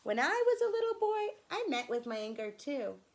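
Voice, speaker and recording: A woman's voice: sits high, very animated, moderate pace, voice pushed up. A woman voicing a kind, affectionate old grandfather in a picture book. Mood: amused, reminiscing.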